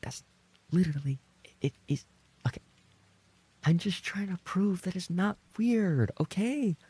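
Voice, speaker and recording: A man chatting casually as a livestreamer, rambling and trading playful banter with his viewers, in a gravelly, husky voice. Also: high voice, animated, measured pace, darkish tone, dry recording. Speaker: livestreamer and ASMR voice actor reading chat.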